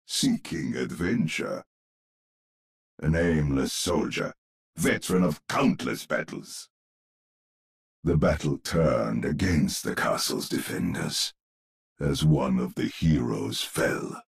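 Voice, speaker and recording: An actor voicing an old male storyteller with a gravelly, husky voice, narrating a dark fairy tale for a game in an ominous, measured way. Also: rumbling low, big pitch swings, heavily acted. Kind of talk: dramatic scripted narration.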